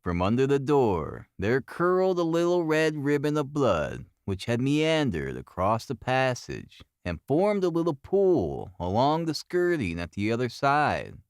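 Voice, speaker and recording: An earnest, methodical Victorian police detective , voiced by a male audiobook reader, delivers a formal report. His pitch rises and dips expressively, in a dry, close recording. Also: very animated, smooth, dry recording. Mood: grave, tense. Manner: formal, deliberate storytelling.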